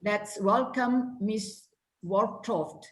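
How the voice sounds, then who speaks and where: A woman's voice: medium pitch, very slow. A woman giving a formal introduction of a guest speaker at a club meeting over a video call, speaking carefully with restarts. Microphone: video call microphone. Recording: echoey room.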